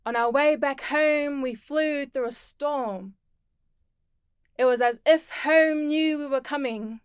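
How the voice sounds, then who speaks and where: A woman from the Torres Strait Islands and Tonga, performing a spoken word poem in a slow, measured and deliberate way. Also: high voice, clean tone, no echo, studio quality. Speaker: performing poet.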